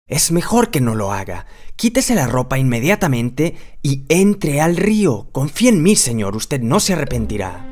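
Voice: mid-range voice, sing-song, normal speed, few pauses, darkish tone. An actor voicing a clever, confident male cat servant in a children's cartoon, persuasive and formally courteous. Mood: urgent, commanding. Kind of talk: children's cartoon character acting. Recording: light music behind the voice.

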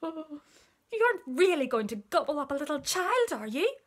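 A woman voicing an excitable male monkey in a children's story, chatty and exclaiming with nervy emphasis. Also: extremely high pitch, brisk, voice pushed up, heavily acted. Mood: alarmed.

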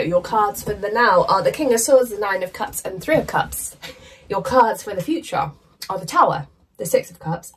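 A woman in her thirties doing a chatty, emphatic tarot reading to camera in a small room, her voice going hoarse. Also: fairly low pitch, far from the mic, rough recording. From United Kingdom. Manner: chatty, emphatic, casual swearing. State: losing her voice, coughing. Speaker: tarot reader. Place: small decorated room indoors.

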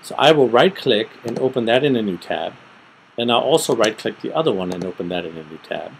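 A middle-aged man giving an informal, step-by-step screen tutorial, thinking aloud methodically with pauses. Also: lowish voice, expressive, gravelly, a little hiss or hum, low-quality recording. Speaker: tutorial presenter. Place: small room at home, indoors.

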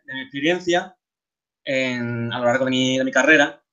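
A man's voice: medium pitch, moderate pace, highs cut off. Chatty Andalusian man in his thirties. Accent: Andalusian Spanish. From Seville, Spain. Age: 30s. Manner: relaxed, chatty, many restarts and fillers. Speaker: guest speaker, game artist. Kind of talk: conference talk over slides.

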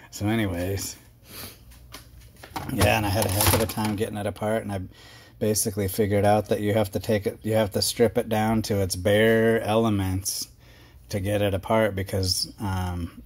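A man's voice: fairly low pitch, flat delivery, normal speed, a little mellow. A middle-aged man casually narrating a workshop how-to in his garage, rambling and chatty with lots of fillers, his hands busy on the repair. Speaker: garage video host. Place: cluttered home workshop garage.

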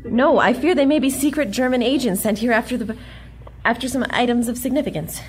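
A woman's voice: on the high side, brisk, a little mellow, slight background noise, lightly acted. An actress playing a plucky young American woman, earnest and defiant with dry sarcasm, in an audio drama. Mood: worried, insistent.

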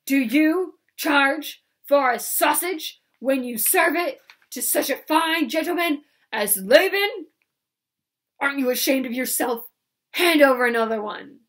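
A woman voicing a huge drunken bully of a man who roars his demands slowly. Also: high-pitched, animated, fairly bright tone, pitched above the performer's own voice. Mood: bellowing. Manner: bellowing, slow and menacing. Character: drunken bullying strongman, male, huge and loud.

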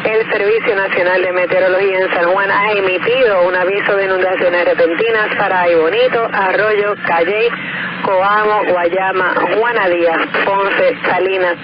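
A man's voice: sits high, steady tone, moderate pace. A man reading a scripted emergency weather bulletin as an announcer over the radio. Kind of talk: scripted bilingual alert reading. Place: radio broadcast. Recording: noisy, muffled weather radio broadcast.

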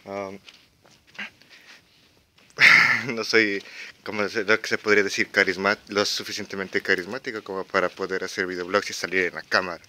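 A young man in his twenties with an Ecuadorian Quito accent, vlogging casually while walking outdoors on quiet residential streets, talking hesitantly with many fillers and restarts. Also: low voice, level tone, moderate pace, pauses often, a little hiss or hum. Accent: Ecuadorian Spanish (Quito). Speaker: exchange student vlogger. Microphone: handheld camera while walking.

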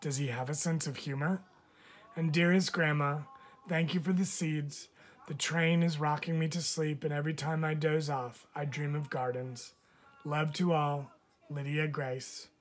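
A man, a teacher, reads a picture book of letters aloud with expressive, measured phrasing. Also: a little high. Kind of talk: storybook read-aloud of letters.

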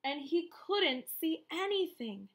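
A woman in her thirties reading a children's storybook aloud, expressive, deliberate and emphatic. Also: very high voice. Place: small room at home, indoors.

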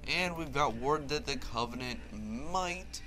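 A man's voice: a little high, a little slow. A man giving casual, wry gameplay commentary. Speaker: gaming video host. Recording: game music behind. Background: video game music and sounds.

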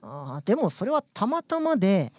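A man's voice: sits high, wildly swooping pitch, moderate pace, dry recording, studio quality, full character voice. A man playing a polite, easily flustered young man as the bewildered straight man in a radio comedy drama. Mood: puzzled, polite.

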